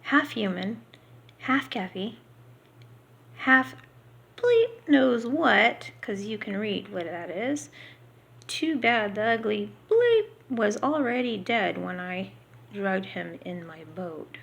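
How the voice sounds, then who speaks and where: A woman reading a book aloud unrehearsed, halting here and there and slipping into casual asides. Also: mid-range voice, big pitch swings, vocal fry, fairly bright tone, breathy edge.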